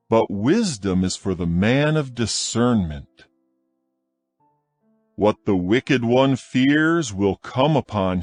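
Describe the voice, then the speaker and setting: A man reading proverbs from scripture in a slow, measured, solemn manner as a studio voice-over. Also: medium pitch, no echo. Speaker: scripture reader.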